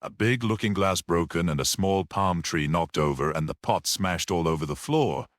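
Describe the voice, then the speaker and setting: A man voicing a mild, quietly grave little priest-detective in an audiobook, gentle and matter-of-fact. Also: low-pitched, normal speed, dry recording, studio quality, pitched below the performer's own voice, natural voice.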